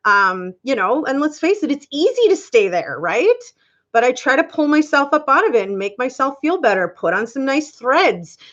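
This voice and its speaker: Lively high-pitched Canadian woman, giggly. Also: normal speed, dull audio. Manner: giggly, chatty, affectionate. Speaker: livestream host. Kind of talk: casual livestream chat with viewers.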